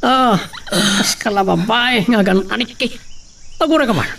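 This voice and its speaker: A man's voice: very high voice, animated, moderate pace, creaky, pushed and bright, heavily acted. A man playing a menacing, taunting bandit with an Ilocano accent in a radio drama. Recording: noisy background hiss and chirping.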